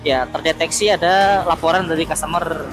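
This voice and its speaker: A man chatting casually as a motorcycle taxi driver, explaining things with halting self-repeats over a helmet intercom while riding. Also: fairly high pitch, fairly even intonation, music in the background, low-quality recording. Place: outdoors, riding a motorcycle through city streets. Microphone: helmet intercom headset.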